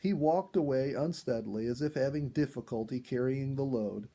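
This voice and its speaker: A man reading a children's story aloud as an expressive storyteller in scripted narration. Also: medium pitch, moderate pace.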